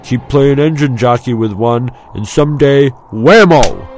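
A man's voice: fairly low pitch, normal speed, mellow, a little hiss or hum, close to an everyday voice. A man voicing an easygoing, smug young male pilot, knowing and emphatic, talking to a friend in an audiobook. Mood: teasing, warm.

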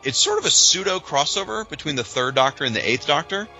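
A man's voice: on the high side, lively intonation, quick pace, sharp tone, highs cut off, soft background music. A man co-hosting a podcast, talking in an informal, opinionated, chatty way as he discusses things from loose notes. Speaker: podcast co-host.

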